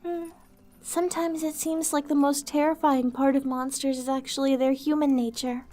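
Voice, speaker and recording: Woman playing a high-voiced, gentle melancholy vampire woman. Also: flat delivery, moderate pace, soft background music, close to an everyday voice. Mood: pensive, melancholy. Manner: soft-spoken, wistful, earnest, formal wording. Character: gentle melancholy female vampire outcast, compassionate and weary. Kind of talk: ASMR roleplay monologue.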